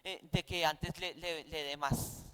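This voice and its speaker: A man's voice: a little high, fairly even intonation, takes it slowly, pushed and bright, clear, resonant tone. A man with a Colombian accent teaching a Bible class in a didactic, conversational way, often posing rhetorical questions.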